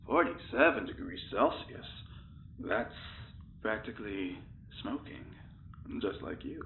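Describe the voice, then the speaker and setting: A man's voice: fairly low pitch, lively intonation, slow, gravelly, narrow, tinny audio, slight background noise, exaggerated voice. An actor playing a cheeky, flirtatious male test subject in a comedic audio drama, teasing and melodramatic in his delivery. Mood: flirty, teasing.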